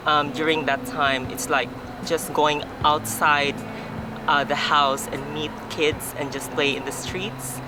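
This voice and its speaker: A speaker in their forties with a Filipino accent gives calm, measured interview answers. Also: mid-range voice, noisy background. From Philippines. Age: 40s. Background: loud background music.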